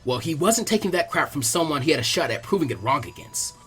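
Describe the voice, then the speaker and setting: A man's voice: fairly high pitch, very animated, fast talker, fairly bright tone, faint music behind. A man narrating fan fiction as a scripted story reading.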